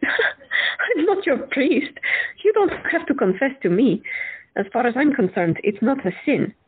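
An actress plays a candid, playful woman doctor, higher voice, husky, phone-line tinny. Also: very animated, measured pace, heavily acted. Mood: teasing, affectionate. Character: frank, teasing woman doctor in love. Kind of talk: audio drama acting.

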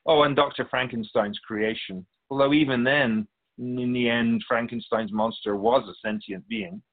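A man playing a patient, all-knowing keeper of souls in a radio drama, explaining things in a measured, gently wry way. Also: mid-range voice, lively intonation, vocal fry, narrow, tinny audio. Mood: patient, explanatory. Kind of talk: scripted radio drama dialogue.

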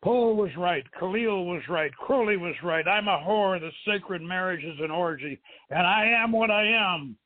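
A man's voice: high voice, a little slow, few pauses, no echo. A man voicing an emotional, earnest, argumentative woman archaeologist in a dramatic novel reading. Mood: distraught. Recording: phone-like thin line.

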